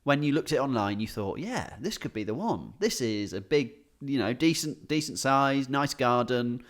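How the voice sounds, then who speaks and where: British man, medium pitch, comedian co-hosting a podcast. Loose, chatty storytelling with frequent chuckles and casual swearing. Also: very animated, normal speed. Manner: chuckles often while talking, swears casually. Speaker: podcast co-host, stand-up comedian. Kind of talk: casual comedic chat, telling anecdotes.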